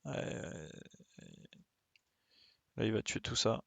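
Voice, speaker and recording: A man's voice: extremely slow, no echo. A man doing casual, colloquial gaming commentary, thinking aloud and muttering to himself as he plays. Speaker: streamer playing a video game.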